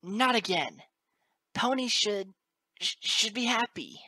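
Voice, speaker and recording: A little unicorn colt in a fantasy audiobook, played by a woman, pitched well above the narration. The boy is tearful yet defiant, stammering and whimpering with a tense, bright edge. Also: sing-song, slow, slightly rough, no room sound, exaggerated voice. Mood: tearful defiance. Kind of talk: dramatic audiobook character acting.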